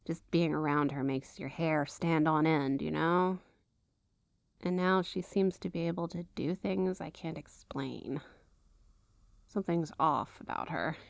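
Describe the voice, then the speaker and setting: A woman playing a worried, protective coworker in an ASMR roleplay, speaking in a gentle, halting, earnest way with awkward hesitation. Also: low voice, no room sound, clean studio sound.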